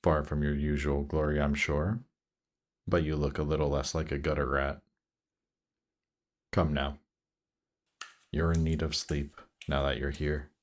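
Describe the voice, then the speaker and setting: A man playing a seductive demon prince in an intimate roleplay audio drama, teasing and coaxing in an unhurried way. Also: rumbling low, level tone. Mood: playful, amused.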